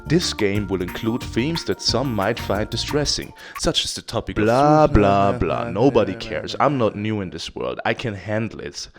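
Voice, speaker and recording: A man giving a casual, profane game review in an animated, theatrical, self-deprecating way. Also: fairly low pitch, moderate pace, hardly any pauses. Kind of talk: casual, profane review commentary over gameplay. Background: background music.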